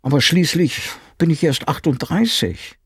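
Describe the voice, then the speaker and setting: A man's voice: on the high side, animated, unhurried, slightly rough, no room sound, clean studio sound, voice pushed up, heavily acted. A man voicing a frightened housemaid. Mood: fearful, urgent. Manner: urgent, raised and fearful. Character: anxious housemaid woman aged thirty-eight. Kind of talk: audiobook character voice.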